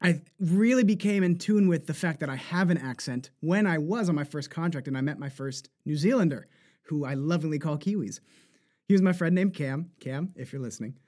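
A man with a New Jersey accent, talking as an animated voice actor guest who laughs often and slips into accent demos. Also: fairly high pitch, a little fast. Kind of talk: casual interview chat.

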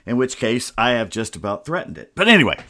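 A man's voice: medium pitch, very animated, fast, pushed and bright, no room sound, studio quality. An American man hosting a solo sports show in a casual, grumbling and wry way, with rambling asides. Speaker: sports podcast host. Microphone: close computer microphone.

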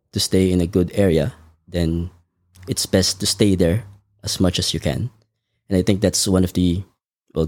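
Guy, really deep mellow voice, takes his time, lots of ums. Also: no room sound, clean studio sound. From Philippines. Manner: measured, thoughtful, frequent ums. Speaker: podcast guest. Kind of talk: conversational interview.

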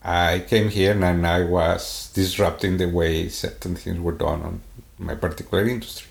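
A man with a Swedish accent in a casual podcast conversation, talking in a halting way with many restarts and fillers. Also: deep voice, little pitch movement, vocal fry. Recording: echoey room.

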